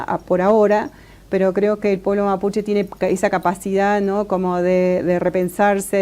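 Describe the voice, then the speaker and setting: Hesitant Argentine woman with a mellow voice. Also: medium pitch. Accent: Argentine Spanish. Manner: thoughtful, many hesitations and restarts. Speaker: interviewee, political scientist. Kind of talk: conversational interview answers.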